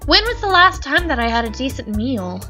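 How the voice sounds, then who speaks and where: Bright, chatty woman reading a game aloud. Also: high voice, very animated, fairly quick, faint music behind. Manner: chatty, giggly, reads narration then riffs. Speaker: let's play host. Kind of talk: reading game text aloud with casual commentary.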